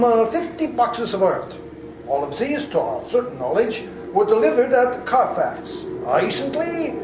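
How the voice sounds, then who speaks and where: A man plays an old professor rallying his friends against a vampire, grave and commanding. His voice is very high and swings widely, in a roomy hall with music underneath. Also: background noise, exaggerated voice. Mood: urgent. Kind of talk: live amateur radio play.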